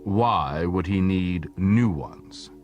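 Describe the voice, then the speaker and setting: A man voicing a huge, proud alien warrior in an audiobook, stern, formal and deliberate. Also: low-pitched, lively intonation, a little mellow, dull audio, soft background music, exaggerated voice. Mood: stern, insistent. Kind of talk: audiobook character acting.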